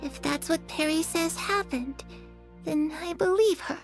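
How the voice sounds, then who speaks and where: Very high girlish ghost. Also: measured pace, soft background music. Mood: curious cheerful. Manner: bubbly, curious, teasing. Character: cheerful, chatty dead girl's spirit. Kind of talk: game cutscene acting.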